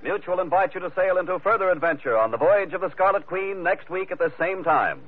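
Tinny, animated vintage radio announcer, a man. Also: sits high. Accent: American. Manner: formal, polished, measured phrasing. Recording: tinny vintage radio broadcast, music behind.

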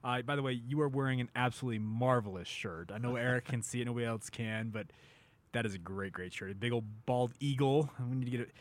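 A man's voice: mid-range voice, fast talker. Easygoing American man hosting sports radio. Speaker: sports radio show host. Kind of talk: casual sports radio chat.

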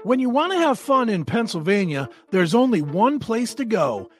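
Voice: mid-range voice, sing-song, normal speed, faint music behind. An announcer reading an upbeat promotional spot for an advertisement. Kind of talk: upbeat commercial read.

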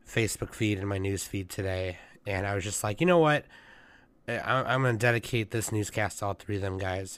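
A man's voice: on the low side, fairly bright tone, no echo. A man hosting his own casual news show about anime, talking loosely with lots of fillers and restarts. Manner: rambling, many fillers and restarts. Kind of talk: casual chat.

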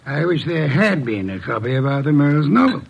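Shrewd detective boss, fairly high voice, dry, articulate, self-satisfied, vintage radio drama. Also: male voice, sing-song, brisk, creaky, husky, highs cut off, full character voice. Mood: wistful, dry.

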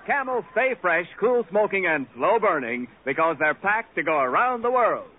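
A man announcing a 1940s radio show opening in a brisk, emphatic way. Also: high-pitched, sing-song. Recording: tinny old radio broadcast over music.

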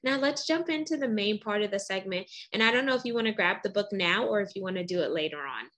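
A woman's voice: medium pitch, expressive, fast talker, fairly bright tone. A woman hosting a casual interview segment, upbeat and encouraging with her guest. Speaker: interview host.

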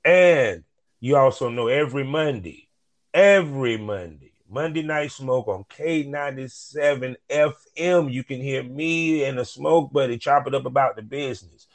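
A man's voice: medium pitch, moderate pace. A man hosting a casual podcast interview, talking in a laid-back, slangy drawl. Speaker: podcast host.

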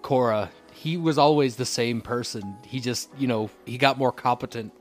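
A man's voice: mid-range voice, normal speed. Informal man chatting over faint music. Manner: informal, slangy. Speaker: podcast co-host. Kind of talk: casual fan chat.

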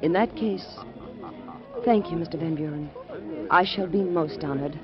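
A woman playing a spirited, coquettish woman, lively, muffled lo-fi radio sound. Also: fairly low pitch, moderate pace, over music, lightly acted. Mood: gracious. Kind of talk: 1940s radio acting. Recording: dull old radio recording.

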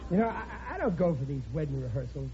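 A high-pitched, blustering American dad of middle age, folksy and loose with his grammar. It has the style of a 1950s radio comedy, on a muffled old recording with faint noise. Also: big pitch swings, fast, mellow. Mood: genial, relieved. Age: middle-aged. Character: blustering working-class American family father, proud, plain-spoken. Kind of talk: 1950s radio sitcom acting.

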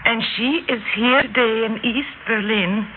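A woman's voice: a little high, a little slow, close to an everyday voice. A woman acting a proud, suspicious, anguished German wife in an old-time radio drama. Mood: bitter, reproachful. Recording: narrow-band old radio recording, faint noise.

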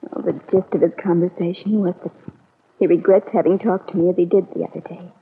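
Young American niece, in vintage radio melodrama: bitter, wounded, husky-voiced; tinny archive recording. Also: female voice, fairly low pitch, steady tone, fairly quick. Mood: hurt, resentful. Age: young adult. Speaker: radio actress. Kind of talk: classic American radio serial acting. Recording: tinny narrow-band old radio recording.

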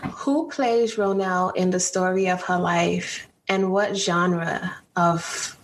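A woman's voice: on the low side, steady tone, slow pace. A woman hosting an interview, asking questions in an enthusiastic, encouraging way. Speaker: interview host. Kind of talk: casual interview chat. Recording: distant mic with faint background noise.

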